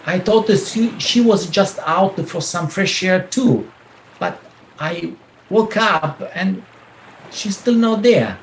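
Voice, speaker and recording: A man's voice: sits high, expressive, slow pace, heavily acted. A man playing the defensive, protesting husband of a missing woman in a radio play. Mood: worried. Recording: echoey room, faint background noise.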